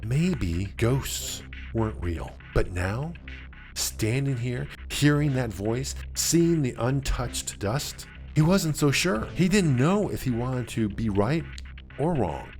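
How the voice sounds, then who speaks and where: A man narrates a suspenseful tale in a lively, varied delivery. He pauses deliberately for drama, and music plays softly underneath. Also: medium pitch. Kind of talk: scripted suspense story narration.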